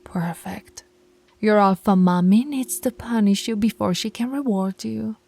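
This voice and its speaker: A woman's voice: medium pitch, unhurried, creaky, mellow, faint music behind. A woman voicing a dominant female werewolf pack alpha in an audio roleplay, teasing and commanding, often dropping to a whisper. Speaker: voice actress.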